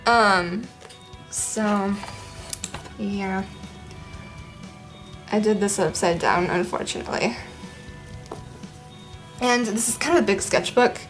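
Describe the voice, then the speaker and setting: A young woman chatting casually in her living room as a vlog host, rambling with lots of ums and a voice that is going hoarse. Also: mid-range voice, takes it slowly, pauses often, soft background music. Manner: rambling, many ums, laughs often. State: losing her voice. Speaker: art vlogger. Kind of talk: casual chat.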